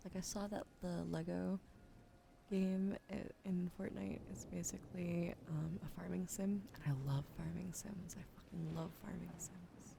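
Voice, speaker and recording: A sleepy woman streaming ASMR with a sore throat, switching between soft whispers and casual chat about games into a close microphone. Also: fairly low pitch, fairly even intonation, measured pace, vocal fry. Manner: soft whispering between chatty gaming talk. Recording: noisy stream audio.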